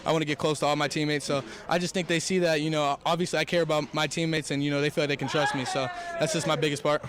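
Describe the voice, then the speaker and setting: A man's voice: fairly high pitch, flat delivery, quick pace, crowd noise. A young man, a college football quarterback, answering questions in a press interview. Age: young adult.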